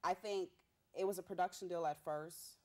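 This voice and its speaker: A young woman rapper from Haiti, a Haitian Creole speaker, telling her story in a bubbly, animated way with street slang. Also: on the low side, normal speed. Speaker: rapper guest. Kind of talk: casual interview.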